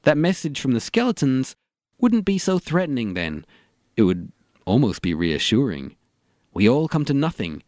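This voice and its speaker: A dry, close-sounding actor as a gloomy philosopher in a comedy audio drama. He muses in a deliberate, morbid way with a pitch that moves around a lot, and he can be bluntly tactless. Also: big pitch swings, a little mellow, no room sound. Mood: reflective.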